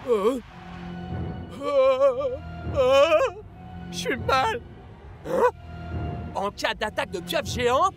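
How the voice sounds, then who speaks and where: A plucky young cartoon sports hero. His voice is pitched very high with a gravelly fry, cheeky in manner, over prominent music. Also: animated, very slow, long pauses, exaggerated voice. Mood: alarmed, incredulous. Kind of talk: cartoon dubbing.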